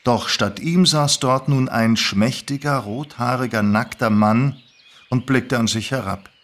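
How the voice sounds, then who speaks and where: Mid-pitched man narrating a story. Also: a little slow, a bit bright.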